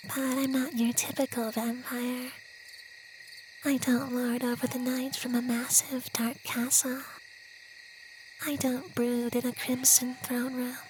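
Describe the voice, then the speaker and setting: Slow, high, whispery gentle vampire played by a man. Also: a little hiss or hum. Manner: soft, breathy, half-whispered, slow and soothing. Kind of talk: ASMR roleplay.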